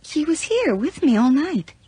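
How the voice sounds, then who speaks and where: An actress playing a confused, frightened young woman in a radio horror drama, speaking haltingly in murmurs. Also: high-pitched, very animated, dark tone, no room sound. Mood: confused, anxious. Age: young adult.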